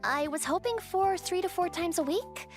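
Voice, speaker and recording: A polite, earnest college student from a romantic comedy cartoon played by a woman. She speaks in a high, young voice, with light music underneath. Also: moderate pace. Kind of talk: dubbed romantic comedy manga acting.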